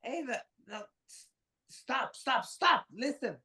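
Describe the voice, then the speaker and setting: An actress in a dramatic table read playing a panicked, incredulous person, stammering and exasperated. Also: female voice, on the high side, lively intonation, slow pace, bright, forceful tone, exaggerated voice. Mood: frantic, exasperated. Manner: stammering, exasperated, foul-mouthed.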